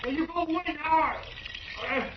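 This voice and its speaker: An American man playing a desperate, frightened fugitive rancher in an old radio western, shouting in a strained voice from a distance. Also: extremely high pitch, normal speed, lo-fi sound. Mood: desperate, pleading. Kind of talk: 1950s radio western acting. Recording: distant, echoey, noisy old radio recording.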